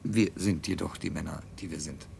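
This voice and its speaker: A man's voice: normal speed, gravelly, darkish tone. A man playing a lofty, self-satisfied scientist in a 1970s radio play, with a stilted, pompous delivery. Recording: 1970s radio recording with low rumble.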